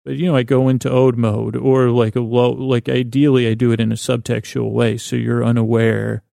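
A man with a creaky voice hosting a sleep podcast, delivering a meandering, halting bedtime monologue with whimsical, self-deprecating digressions. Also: mid-range voice, level tone, mellow, no room sound, clean studio sound. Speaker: podcast host. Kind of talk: rambling bedtime monologue to help listeners sleep.

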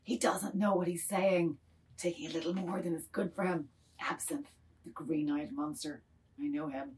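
A woman's voice: lowish voice, fairly even intonation, normal speed, a little mellow, distant mic, natural voice. A woman acting anxious, placating and genteel-talking characters in a dramatic table reading.

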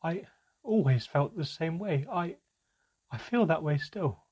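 A sobbing mother with a strained, quavering, husky voice pitched well above the narrator's, as a man puts her on. Her voice stays low and broken through the storybook reading. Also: lively intonation, normal speed, mellow, dull audio. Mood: tearful. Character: tearful, apologetic mother.

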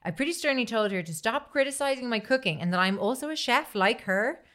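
A woman's voice: fairly high pitch, animated, fairly quick, fairly bright tone. A woman podcast host chatting casually and reading a story aloud, swearing freely and acting out the quoted dialogue.

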